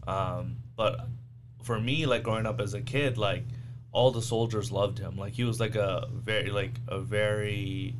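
A man in his thirties, originally from Bangladesh, talking as a guest on a podcast in a relaxed, slangy way with lots of "like" and "um". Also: on the low side, measured pace, background noise. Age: 30s. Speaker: guest, physician. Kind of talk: casual podcast conversation.

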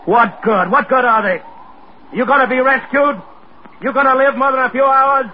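A man's voice: very high-pitched, fairly even intonation, moderate pace, a little hiss or hum. An actor in a 1950s radio drama playing a bitter, envious spaceman facing death, intense and insistent. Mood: desperate, taunting. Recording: dull 1950s radio recording with music.

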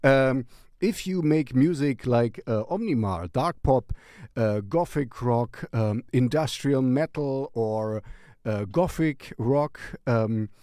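Male music show host, leisurely and halting, playfully distracted, very little room sound. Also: medium pitch, dark tone, no echo, clean studio sound. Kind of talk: casual rambling music recommendation.

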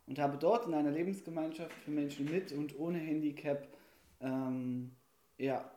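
A man of about twenty-six talks casually as a podcast guest. His voice is warm and relaxed, a little high for a man. The room is echoey with faint noise. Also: normal speed. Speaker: podcast guest, student teacher. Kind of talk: casual chat. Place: kitchen used as a studio.

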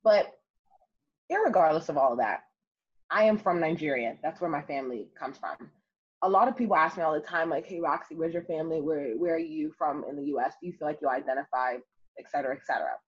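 A young adult woman telling her story as an interview guest, lively and emphatic. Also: low voice, fast talker, slightly rough. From United States. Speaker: guest, city councilwoman. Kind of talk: conversational interview. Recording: muffled lo-fi audio.

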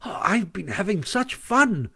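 A man voicing an excitable, boastful little mole in a storybook, telling his tale with eager glee. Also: high-pitched, unhurried, pitched above the performer's own voice. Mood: gleeful, pleased. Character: chirpy, boastful, excitable small male mole.

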